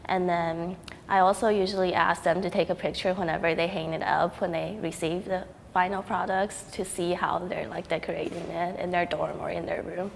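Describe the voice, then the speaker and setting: A woman's voice: on the low side, level tone, normal speed, vocal fry. A young woman from Taiwan, a student artist, talking casually in an interview with frequent ums and likes. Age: young adult. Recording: reverberant hall with faint noise.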